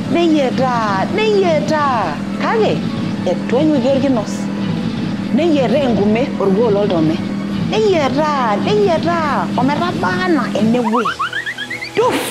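High, lively Kenyan woman storyteller. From East Africa. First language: Maasai. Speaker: storyteller, host. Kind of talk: children's storybook reading and presenting. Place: studio set, small furnished room with bookshelves, indoors.

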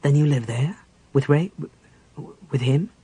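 A man's voice: on the high side, slow, warm tone, husky, dry recording, full character voice. A man voicing an earnest, wary male half-elf warrior who speaks plainly and directly. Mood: puzzled.

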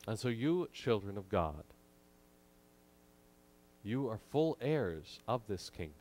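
A man in his thirties preaching a sermon from a church pulpit, measured and emphatic with frequent pauses, into a pulpit microphone. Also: fairly low pitch, a little mellow. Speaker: pastor.

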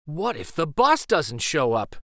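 A man's voice: fairly high pitch, wildly swooping pitch, a little slow, bright, forceful tone, dry recording, clean studio sound, full character voice. A man voicing a timid, worried little schoolgirl in a storybook, hesitant and anxious.

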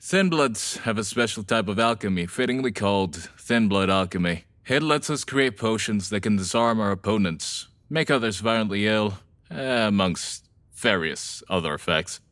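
A man's voice: on the low side, animated, moderate pace, a bit bright. A man acting a flippant young half-vampire guy. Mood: breezy. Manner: casual, offhand, sweary. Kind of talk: audio drama acting.

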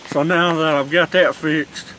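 A man's voice: a little high, fairly even intonation, a little slow, pushed and bright, a little hiss or hum, low-quality recording. A young man, chatting casually and cursing often in a laid-back drawl while filming himself on a phone on a covered porch. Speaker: vlogger reviewing dip tobacco. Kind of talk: casual rambling vlog chat. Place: covered porch outdoors in heavy rain. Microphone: phone camera on kickstand. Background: rain falling.

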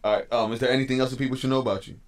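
Brief: man, 30s, radio host, rapid slangy chat into a studio mic. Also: mid-range voice, fairly even intonation. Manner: quick, upbeat, slangy questions. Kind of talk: casual interview. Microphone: studio mic on boom arm.

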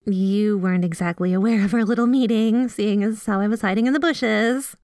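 A woman's voice: mid-range voice, brisk, a little mellow, no echo, studio quality, natural voice. A woman playing a sweet, obsessively devoted yandere girlfriend in a scripted ASMR roleplay, gushing and bashful as she speaks to the listener. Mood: excited. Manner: gushing, giggly, teasing, bashful asides.

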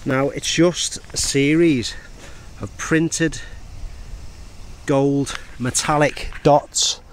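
A British man in his forties giving a casual, measured product review to camera in a conversational way. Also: medium pitch. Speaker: outdoor gear reviewer. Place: outdoors in open countryside. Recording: outdoor ambient noise.

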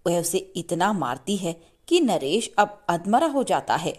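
A woman reading a scripted children's story as an expressive narrator. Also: lowish voice, measured pace.

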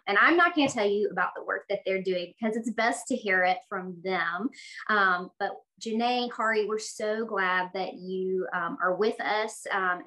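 A woman's voice: mid-range voice, fairly bright tone, low-quality recording. Thirties woman host, friendly and chatty with ums, on a video call from an office. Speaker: church missions host. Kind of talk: interview introduction. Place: office room.

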